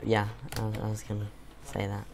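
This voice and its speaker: A young man with a slightly croaky sore throat, chatting and teasing his father while they play a game together, often pausing with ums. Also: lowish voice, completely flat delivery, background noise. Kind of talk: casual gaming chat.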